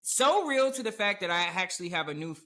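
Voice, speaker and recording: A man hosting a casual podcast chat, talking with animated energy and often quoting others in a raised voice. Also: high-pitched, a little fast. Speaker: podcast host. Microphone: remote recording setup.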